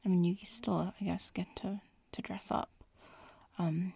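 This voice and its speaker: A woman voicing a young witch-in-training in an ASMR roleplay, murmuring and whispering with a creaky, breathy voice and a nonchalant drawl. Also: fairly low pitch, fairly even intonation, a little slow, darkish tone, dry recording, heavily acted. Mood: teasing, casual. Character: casual young witch-in-training, bratty schoolmate.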